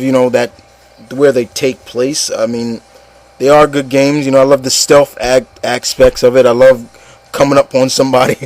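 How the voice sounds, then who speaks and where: A man's voice: medium pitch, darkish tone, no room sound. A man hosting an online show, talking casually and emphatically in a group discussion, often repeating himself for stress. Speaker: host of an online opinion show.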